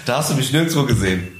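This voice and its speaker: A man who is a musician guest, talking in a casual, reflective way and laughing easily. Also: mid-range voice. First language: German. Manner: reflective, laughs easily, mixes in English words. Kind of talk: casual interview chat. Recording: echoey room, faint noise.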